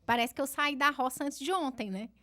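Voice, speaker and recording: Woman, regional Brazilian accent, higher voice, chatty seminar lecturer. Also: a bit bright. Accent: Brazilian Portuguese, regional. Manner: chatty, self-deprecating, fast restarts. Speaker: law lecturer. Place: conference hall.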